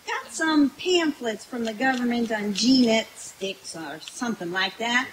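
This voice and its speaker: A woman's voice: fairly high pitch, lively intonation, unhurried. A woman playing a folksy, jokey country gal in an old-time radio comedy skit, with a giggly delivery. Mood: folksy, joking. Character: folksy, jokey, man-hungry country woman named Mabel. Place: outdoor woodland stage at night. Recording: hissy live camcorder recording from a distance.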